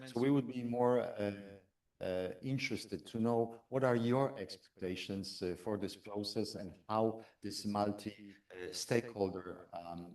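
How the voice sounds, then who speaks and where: A man moderates a panel in a low voice, pausing and hesitating as he searches for words. His voice sits at a distance from the microphone. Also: a little mellow. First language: Polish. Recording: distant mic in a conference room.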